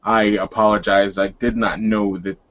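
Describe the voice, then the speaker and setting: A man's voice: on the low side, flat delivery, normal speed, fairly bright tone, muffled recording, lightly acted. A man playing a proud, hot-tempered male werewolf in a tabletop game, speaking in formal, courtly phrases. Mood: apologetic, formal.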